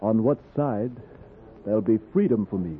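Brief: male actor as reserved sailor newcomer, lowish voice, terse and guarded, period radio drama, phone-like audio. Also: lively intonation, moderate pace, close to an everyday voice. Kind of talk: historical radio drama acting. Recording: muffled phone-like old recording, light noise.

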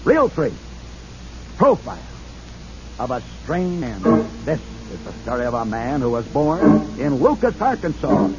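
Man, dramatic radio narrator, very animated pitch, dull old broadcast audio. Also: a little slow. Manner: theatrical, emphatic showman. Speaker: radio host. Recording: muffled vintage radio with music.

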